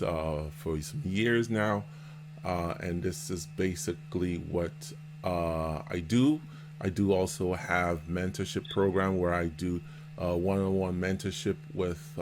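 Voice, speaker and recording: A man hosting a casual vlog interview as a seller and mentor, explaining things in a halting, repetitive way. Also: low voice. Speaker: host, Amazon seller and mentor. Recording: noticeable background noise.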